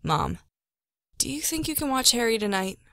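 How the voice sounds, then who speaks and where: A woman's voice: fairly high pitch, big pitch swings, normal speed, vocal fry, fairly bright tone, dry recording, studio quality, heavily acted. A woman playing a laid-back young mother in an audio drama, talking casually. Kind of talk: podcast audio drama acting.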